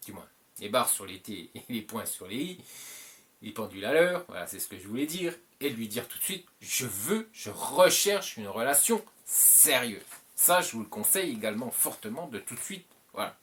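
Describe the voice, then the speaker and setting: A man's voice: mid-range voice, very animated, normal speed, a bit bright, slight background noise. A French-speaking man hosting his channel, giving advice in a casual, rambling monologue full of fillers and repeats. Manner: rambling, repeats himself, many filler "euh"s.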